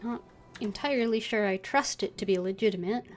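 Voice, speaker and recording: A woman's voice: mid-range voice, a little fast, soft background music. A woman gaming streamer commenting live, reading dialogue aloud and musing as she plays.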